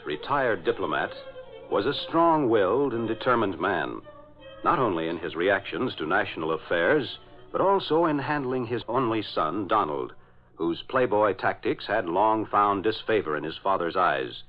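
Old timey radio announcer guy, very animated and dramatic, muffled recording, music behind. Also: fairly low pitch, moderate pace. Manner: dramatic, declamatory. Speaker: radio show announcer and narrator. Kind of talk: 1940s radio announcing, scripted narration. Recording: dull vintage radio recording.

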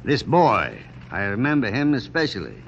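An actor playing a grave, commanding army general in old radio drama, speaking in a formal, stately way. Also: male voice, medium pitch, wildly swooping pitch, moderate pace, lo-fi sound. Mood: puzzled, commanding. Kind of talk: 1940s-50s radio drama acting. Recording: faintly noisy old radio recording.

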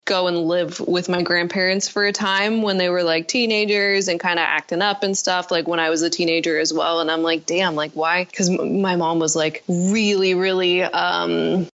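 A woman's voice: lowish voice, flat delivery, normal speed, few pauses, fairly bright tone. Casual woman guest, lo-fi sound. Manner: rambling, lots of "like" and "you know". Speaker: podcast guest. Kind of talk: casual conversation.

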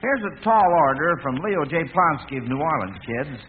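High-voiced folksy old-time radio quiz man. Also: very animated, moderate pace. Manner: folksy, encouraging children, repeats their answers. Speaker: quiz host. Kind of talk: 1940s radio quiz hosting with children. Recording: tinny, hissy old radio broadcast.

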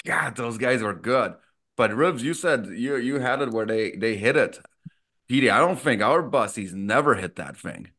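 A man from Austria, co-hosting a casual podcast as a former hockey player, easygoing and quick to laugh. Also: medium pitch, expressive, a bit bright. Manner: easygoing, laughs often, reminiscing. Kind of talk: casual chat.

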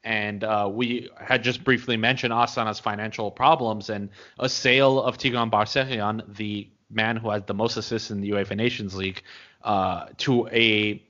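A young man co-hosting a sports podcast, thinking aloud in a measured, casual way. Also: fairly low pitch, steady tone, bright, forceful tone. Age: young adult. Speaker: podcast co-host. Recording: dull lo-fi audio.

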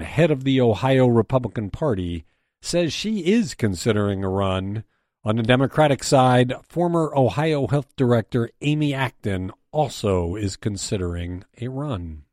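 American guy hosting a news briefing, reads a script, pitch moves around a lot, really dry close sound. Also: darkish tone, no echo. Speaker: news editor and briefing host.

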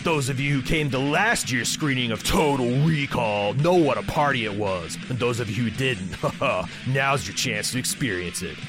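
A man's voice: mid-range voice, normal speed, hardly any pauses. A man who hosts a podcast, reading a scripted promotional announcement for an event. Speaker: podcast host. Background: plucked guitar music.

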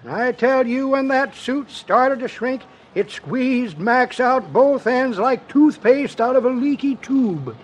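A man playing a folksy old-timer with a rural American accent, spinning tall tales in deadpan 1950s radio comedy style. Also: extremely high pitch, slow pace, few pauses, breathy edge, exaggerated voice. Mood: deadpan yarn-spinning. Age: older. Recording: dull old radio recording.

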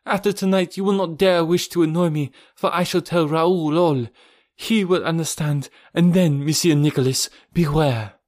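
A gentle, frightened young noblewoman, as a male narrator puts her on, her voice lifted high and soft with pleading. The reading sounds close and dry, with no room around it. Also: normal speed, no echo, lightly acted. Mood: defiant. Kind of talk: audiobook reading.

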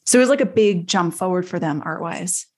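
A woman co-hosting a podcast, talking casually about a TV series in an enthusiastic, expressive way. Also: on the low side, fairly quick, husky. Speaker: podcast co-host.

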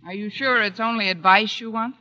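A woman's voice: medium pitch, steady tone, a little fast, sharp tone, natural voice. An actress playing a wry, wisecracking female English teacher in a 1940s radio sitcom, with dry, arch delivery. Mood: deadpan, teasing. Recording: dull, noisy vintage radio recording.

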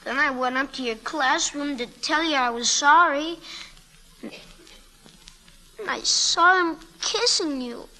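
High, bright-voiced sulky schoolboy. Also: female voice, slow, long pauses, heavily acted, poor audio. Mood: hurt, defensive. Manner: blunt, boyish, folksy slangy talk. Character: sulky, wounded, earnest schoolboy, lovesick for teacher. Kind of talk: 1940s radio drama acting.

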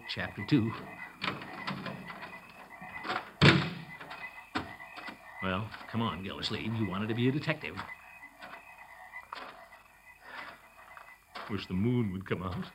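A man's voice: sits low, natural voice. An American man in 1940s radio comedy style, playing a blustering, cowardly middle-aged uncle who bumbles as an amateur detective. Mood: jittery. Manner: bumbling, nervous muttering and chuckling. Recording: noisy old radio recording.

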